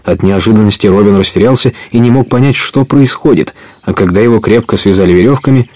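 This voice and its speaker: A man's voice: fairly low pitch, fast talker, a little mellow. A man narrating an adventure audio play in a scripted storytelling style.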